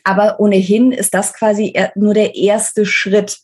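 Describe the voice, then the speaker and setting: A woman's voice: medium pitch, level tone, moderate pace, darkish tone, husky. A woman giving articulate explanations as an interview guest, stressing key words. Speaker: interview guest, book author. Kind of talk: explanatory interview talk on a podcast.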